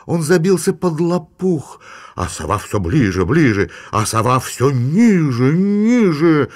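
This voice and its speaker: Slow, expressive male storyteller. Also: a little high. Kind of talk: rhymed fairy-tale narration for children.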